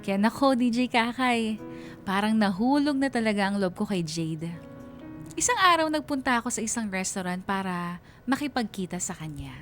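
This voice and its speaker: A woman's voice: medium pitch, animated, moderate pace, a bit bright, soft background music, close to an everyday voice. A woman playing a melancholy young writer who narrates her life story in a sentimental radio drama letter. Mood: smitten.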